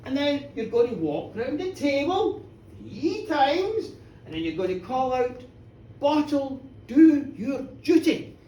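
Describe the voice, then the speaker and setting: A woman storyteller playing a sly wee fairy man who coaxes and quietly menaces in a folk tale. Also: sits high, very animated, slow, exaggerated voice. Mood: sly, coaxing. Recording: echoey live room, distant mic, background noise.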